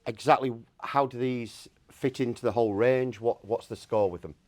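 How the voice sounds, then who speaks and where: A man's voice: mid-range voice, moderate pace, darkish tone. A man working as an interviewer, putting questions in a casual, conversational way.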